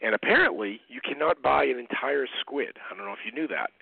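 A man's voice: medium pitch, animated, quick pace, vocal fry, husky, no room sound. A middle-aged man with an American accent telling anecdotes in a casual, conversational interview style, as a guest over a narrow phone line. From United States. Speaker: guest, parent and speaker. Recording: narrow muffled phone-line radio sound.

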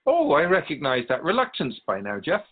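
A man playing a wise, patient otherworldly spirit in a radio drama, explaining things in a measured, gently teasing way. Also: a little high, wildly swooping pitch, husky, phone-quality sound, exaggerated voice. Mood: genial, earnest. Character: Keeper of Souls' Purpose, wise otherworldly spirit, patient and curious.

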